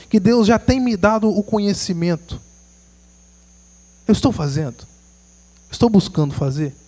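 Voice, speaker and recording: A man's voice: high voice, darkish tone. A man preaching a sermon, earnest and exhorting, asking his listeners rhetorical questions.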